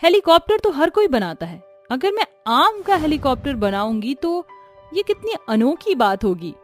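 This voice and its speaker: A woman's voice: sits high, sing-song, moderate pace, soft background music. Woman playing a young village wife. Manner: earnest, thinking aloud. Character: young village wife, engineer, later a witch.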